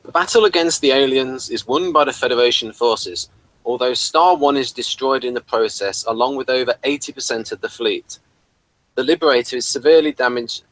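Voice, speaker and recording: A man's voice: medium pitch, a bit bright, no room sound, rough recording. A man hosting a casual review discussion. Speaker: host of a review show.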